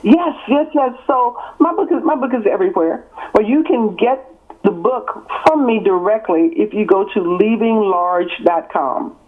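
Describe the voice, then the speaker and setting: A woman's voice: mid-range voice, animated, moderate pace. An author in her sixties, raised in East Texas, answers interview questions over the phone. She talks emphatically and stacks her points in repeated, parallel phrases. The line sounds thin and phone-like. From born in California, raised in East Texas. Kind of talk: interview on a call.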